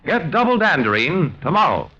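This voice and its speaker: A man's voice: on the high side, big pitch swings, normal speed, over loud music. A man reading a scripted 1940s radio commercial in an emphatic, persuasive announcer's manner. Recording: dull vintage radio recording.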